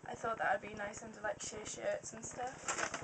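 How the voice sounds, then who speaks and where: A young woman in her twenties chatting through a shopping haul to her webcam, slightly croaky, rambling with lots of ums. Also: mid-range voice, little pitch movement, moderate pace, recorded from a distance, background noise. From Wales. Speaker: beauty vlogger. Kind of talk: casual haul video. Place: small room indoors. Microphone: webcam at close range.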